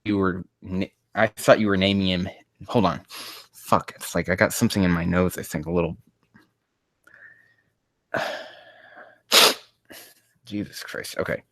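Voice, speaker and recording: A man's voice: deep voice, steady tone, measured pace, long pauses, no room sound. A man casually reading aloud and commenting on a live stream, rambling, swearing and sounding exasperated as he mocks the writing.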